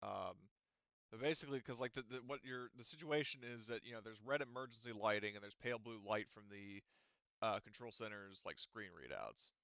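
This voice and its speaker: A man with a low, smooth voice runs a tabletop roleplaying game, describing scenes and rules with frequent ums and restarts. The recording is dry and somewhat dull, from a home mic. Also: fairly quick, fairly bright tone, no room sound. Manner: hesitant, filler-heavy explaining. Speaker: game master.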